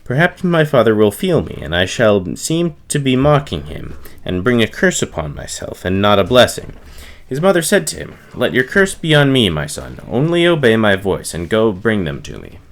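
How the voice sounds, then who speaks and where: Man reading scripture aloud, lively pitch. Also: normal speed. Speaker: reader.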